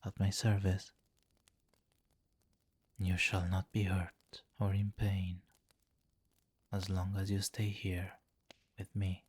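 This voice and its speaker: A man voicing a seductive, domineering vampire king in an ASMR roleplay, softly commanding and condescending, coaxing in a hypnotic murmur that often nears a whisper. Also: low voice, completely flat delivery, takes it slowly, long pauses, breathy edge, heavily acted.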